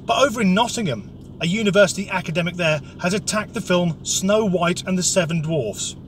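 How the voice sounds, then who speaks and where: A man's voice: high voice, a little fast, a little hiss or hum. A man with an English accent delivering an opinionated comic rant in a blunt, sweary, sardonic way. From Norwich area, England. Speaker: comic commentator.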